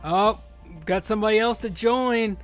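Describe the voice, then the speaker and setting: Loud, excitable man in his thirties. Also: sits high, moderate pace, soft background music. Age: 30s. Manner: loud, excitable, swears freely. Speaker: streamer leading a game group. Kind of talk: casual live gameplay chat.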